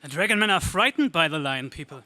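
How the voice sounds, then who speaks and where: Man playing a bright-voiced young hero. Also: on the high side, lively intonation, quick pace. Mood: excited. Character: eager, earnest young space hero. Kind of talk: 1930s space serial radio play acting.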